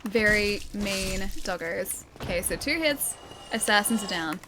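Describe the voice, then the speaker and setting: A woman's voice: medium pitch, expressive, measured pace, noisy background. An Australian woman streaming a video game, chatting casually with her viewers, reading their messages aloud and laughing often. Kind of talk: casual live-stream gaming chat.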